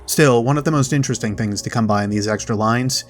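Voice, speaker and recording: A man hosting a game lore video, delivering narrated commentary directly to viewers with dramatic pauses. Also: mid-range voice. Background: background music.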